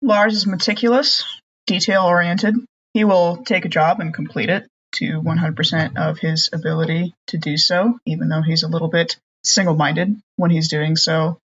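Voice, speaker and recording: A player voicing a meticulous crew member who gives a measured, matter-of-fact report to the captain over a slightly muffled mic. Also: medium pitch, steady tone, fairly bright tone, breathy edge. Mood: earnest.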